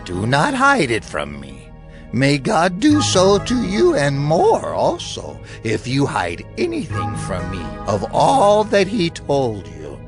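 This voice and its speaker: A man's voice: medium pitch, huge pitch swings, takes it slowly, over music, full character voice. An actor playing a frail old male priest in a dramatized audio Bible, grave and fatherly, with an aged, wavering delivery. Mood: grave urging. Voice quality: creaky, aged.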